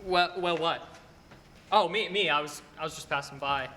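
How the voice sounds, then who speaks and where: A young man performs a restless, defensive small-town young man in a radio play. The voice is high and bright, with halting stammers. It rings in an echoey hall over some hiss. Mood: flustered, defensive. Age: teenager or young adult. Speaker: high school student actor. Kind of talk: filmed stage radio play acting.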